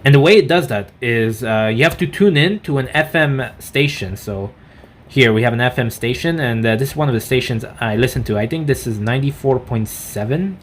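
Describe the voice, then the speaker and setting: A man's voice: mid-range voice, lively intonation. Man rambling through a gadget demo, noisy recording. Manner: halting, rambling, self-correcting. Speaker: product reviewer. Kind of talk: unscripted gadget demonstration.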